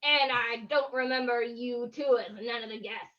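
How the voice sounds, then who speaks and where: A woman's voice: fairly high pitch, steady tone, normal speed, a bit bright, highs cut off, close to an everyday voice. Woman playing a crotchety, folksy old watchman. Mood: scolding, suspicious. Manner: folksy, scolding, rustic phrasing. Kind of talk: old-time radio drama reading.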